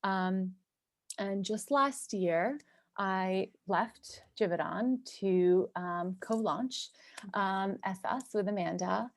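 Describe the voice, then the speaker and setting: A woman's voice: medium pitch, slow pace, no room sound, clean studio sound. Upbeat chatty woman guest. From New York, USA. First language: Turkish. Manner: chatty, upbeat, many ums and likes. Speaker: guest, cosmetic chemist and entrepreneur. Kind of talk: casual interview storytelling.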